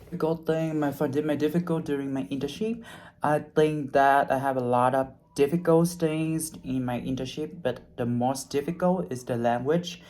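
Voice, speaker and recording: A young man, not a native English speaker, talking through his hospitality internship in a halting way, with many restarts and fillers as he searches for words. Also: mid-range voice, a little mellow. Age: young adult. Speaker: hospitality trainee. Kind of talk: unscripted personal video reflection.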